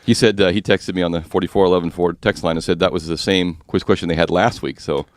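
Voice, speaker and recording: A man hosting a finance talk radio show, speaking with a slightly rough, husky voice and explaining mortgage rules in a chatty, joking way. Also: deep voice, steady tone, fast, dry recording.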